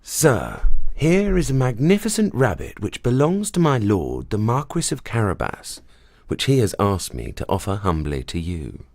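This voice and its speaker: A woman voicing a clever, smooth-talking male cat who speaks in a slow, courtly way. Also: extremely low pitch, big pitch swings, darkish tone, no room sound, studio quality, voice pushed down, full character voice. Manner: courtly, persuasive, slow and deliberate.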